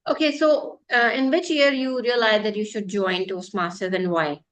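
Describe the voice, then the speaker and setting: A woman's voice: mid-range voice, steady tone, moderate pace, a bit bright. A woman hosting a live interview show, addressing her guest formally and politely. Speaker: talk show host.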